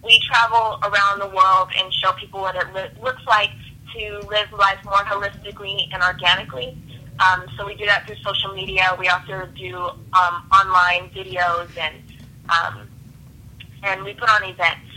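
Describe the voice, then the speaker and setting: A woman's voice: medium pitch, little pitch movement, normal speed, bright, forceful tone. A woman calling in as a guest, telling her story conversationally over a phone line. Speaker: phone-in guest, lifestyle brand co-founder. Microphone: phone call. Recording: tinny telephone line.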